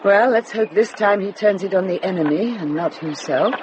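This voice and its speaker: An actress playing a commanding older nun in a radio drama, brisk and dryly wry, kindly underneath. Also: female voice, medium pitch, a little mellow, lightly acted. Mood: calm, firm. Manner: brisk, dry, authoritative. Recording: noisy ambience behind dialogue.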